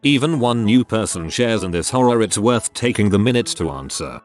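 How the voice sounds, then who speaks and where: A man reading out forum posts as a narrator, in a steady, matter-of-fact way. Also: on the low side.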